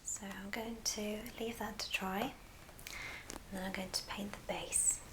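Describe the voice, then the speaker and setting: A woman speaks in a soft whisper over clearly audible background noise and small clicks. She talks through a hands-on craft in an ASMR style, at a middling pitch for a woman. Her short phrases come unhurriedly, with pauses between them. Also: steady tone. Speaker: ASMR craft video host.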